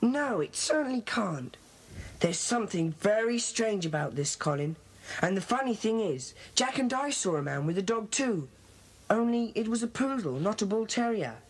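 A woman with a British accent playing a brisk, bossy schoolboy who leads a club in a children's audio drama. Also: mid-range voice, expressive, husky, rough recording. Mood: puzzled, intrigued. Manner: brisk, authoritative.

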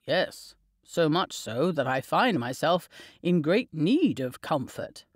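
A woman reading an audiobook, voicing a young gentleman husband with a low, dry, playfully teasing manner. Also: big pitch swings, takes it slowly, no room sound, exaggerated voice. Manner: low, drawling, wry teasing.

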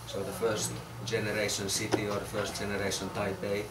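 Man, forties, low and monotone, halting speaker, caught from across a noisy echoey room. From Finland. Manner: halting, many fillers and repeated words. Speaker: architect presenting a research project. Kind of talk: informal talk to a small group. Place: small crowded room, indoors. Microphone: far camera. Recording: roomy, distant, noisy room.

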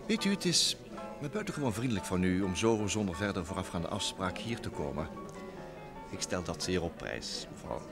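An actor playing a smooth, talkative young private secretary and blackmailer, theatrically polite, in vintage radio drama style. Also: male voice, deep voice, big pitch swings, moderate pace. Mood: affably polite. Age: young adult. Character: smooth, sly thirty-year-old New York private secretary, a blackmailer. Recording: old radio play recording with music.

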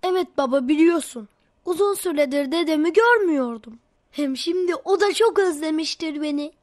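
A woman voicing an eager, inquisitive, devout young child in a children's cartoon, talking in an excitable, childlike way. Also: sits high, normal speed. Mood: curious, hopeful. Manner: childlike, excitable, full of questions.

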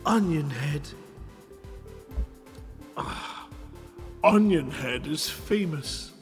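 High-pitched, unhurried man hosting a stream. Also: expressive, soft background music. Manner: playful, jokey. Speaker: host of a live radio stream reading listeners' short stories. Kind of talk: live reading of short fiction with casual banter. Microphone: headset microphone.

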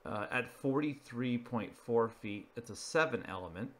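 A man's voice: mid-range voice, animated, moderate pace, a bit bright. A man presenting an informal narrated slideshow, explaining each item in a matter-of-fact way. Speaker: video presenter.

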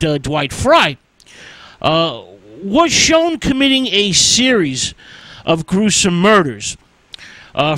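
A man hosting a radio show, giving an informal history lecture in a halting, drawn-out way with emphatic stresses. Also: high voice, a bit bright, no echo, rough recording. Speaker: radio host.

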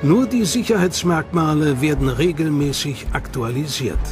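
A man's voice: a little high, moderate pace. A man narrating a documentary from a script with a dramatic, suspenseful delivery. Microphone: studio mic. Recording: studio voice-over over loud music.

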